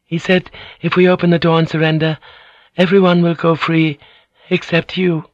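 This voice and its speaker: A man's voice: fairly high pitch, little pitch movement, moderate pace, no echo, highs cut off, voice pushed up, lightly acted. A man voicing a frantic pleading noblewoman. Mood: resigned. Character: desperate noblewoman, young adult, pleading. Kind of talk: audiobook character voice.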